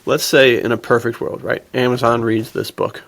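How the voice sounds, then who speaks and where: A man hosts a casual discussion podcast in an informal, slangy way. His voice sits at an average pitch for a man and carries a strong creak. He talks like he is chatting with a buddy. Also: level tone, moderate pace, a bit bright. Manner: informal slangy talk, says dude and like often. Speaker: podcast host and adventure fiction writer. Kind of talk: casual film discussion chat.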